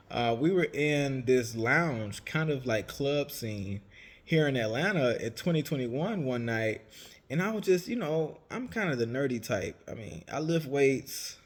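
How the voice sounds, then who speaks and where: A man in his late twenties, raised in Savannah, Georgia, talking casually and rambling, often correcting himself. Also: mid-range voice. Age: 20s. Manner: rambling, self-correcting, chatty. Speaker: vlogger, entrepreneur. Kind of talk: casual storytelling monologue.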